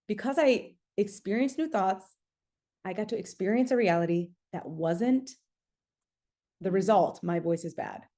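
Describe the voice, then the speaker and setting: A woman's voice: mid-range voice, measured pace, mellow. A woman hosting a casual motivational podcast, speaking emphatically, repeating key phrases and checking in with the listener as she coaches. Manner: acts out own thoughts as quoted lines. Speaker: podcast host and singing artist coaching listeners.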